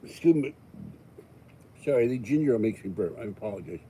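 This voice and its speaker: An older American man hosting his own channel, talking in a rambling, casual way with jokey asides and frequent throat-clearing. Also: lowish voice, lively intonation, brisk, dark tone, a little hiss or hum. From Pittsburgh, United States. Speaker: YouTube channel host. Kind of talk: rambling casual monologue to viewers.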